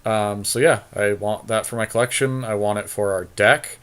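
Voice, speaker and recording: A man's voice: lowish voice, steady tone, moderate pace, fairly bright tone. A man co-hosting a podcast, chatting informally and thinking aloud as he weighs choices during a card game. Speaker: podcast co-host. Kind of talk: casual chat during a card game draft.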